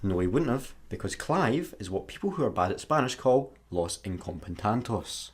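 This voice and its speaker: An actor with a Scottish accent playing a sarcastic, grumbling space satellite worker in a comedy radio play, his voice husky. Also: on the low side, big pitch swings, moderate pace. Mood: scheming. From Scotland.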